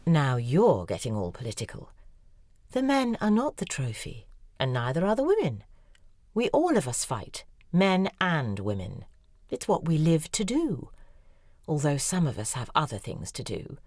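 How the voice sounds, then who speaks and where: An actress playing a sharp-tongued woman novelist telling her past in a wry, self-mocking way. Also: low voice, wildly swooping pitch, measured pace, no echo, studio quality, heavily acted. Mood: needling. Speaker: lead character in audio drama. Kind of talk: dramatic monologue, audio drama acting.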